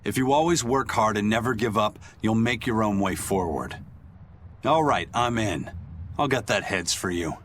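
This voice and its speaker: Low-voiced stoic pirate played by a man. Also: lively intonation, normal speed, slight background noise, natural voice. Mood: stoic, determined. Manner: stoic, blunt. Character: stoic, gruff seasoned male pirate, stubbornly determined. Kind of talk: video game voice acting.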